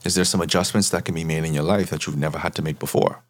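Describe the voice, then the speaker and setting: A man from the Bahamas, a therapist guest, explaining at length in a measured way and often checking in with "right?". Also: rumbling low, steady tone. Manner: measured, tags points with "right?".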